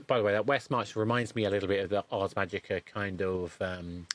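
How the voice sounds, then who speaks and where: A man's voice: on the low side, fairly even intonation, brisk, no room sound. A man hosting a podcast, interviewing conversationally and thinking aloud in a discursive way with frequent restarts. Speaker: podcast host interviewing a guest.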